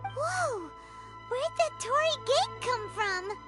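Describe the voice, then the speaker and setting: A tiny, excitable fairy sidekick from a video game, with an extremely high, squeaky child's voice. She is naive and exclamatory, swinging widely in pitch, over prominent game music. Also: slow, heavily acted. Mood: startled. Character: excitable small childlike fairy companion, female, speaks in third person. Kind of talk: video game character acting.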